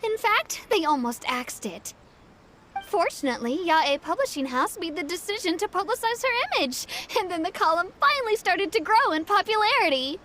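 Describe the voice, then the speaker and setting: Eager fangirl, very high-pitched. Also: big pitch swings, normal speed, bright, forceful tone, a little hiss or hum, heavily acted. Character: eager, adoring young female fan. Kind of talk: video game voice acting.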